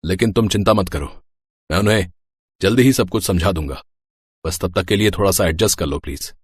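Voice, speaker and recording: A man's voice: low voice, brisk, breathy edge, no echo, clean studio sound. A man playing an earnest, reassuring husband in an acted story. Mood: reassuring, hesitant. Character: earnest-sounding deceitful husband, soft-pleading young man. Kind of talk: acted Hindi audio story dialogue.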